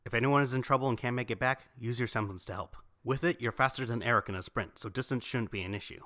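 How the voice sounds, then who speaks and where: A man's voice: mid-range voice, fast talker, pushed and bright, no room sound, clean studio sound, lightly acted. A man playing a serious young squad leader in a fan audio drama, firm and matter-of-fact. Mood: commanding.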